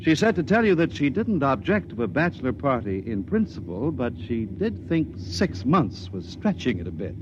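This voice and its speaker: An actor playing a brisk, matter-of-fact military major in a 1950s radio drama. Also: male voice, medium pitch, big pitch swings, natural voice. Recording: muffled old radio recording with noise.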